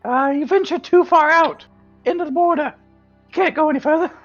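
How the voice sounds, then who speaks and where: A man voicing a cheerful pipe-smoking male halfling border guard in a high, lilting sing-song voice. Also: brisk, highs cut off, soft background music. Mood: chatty.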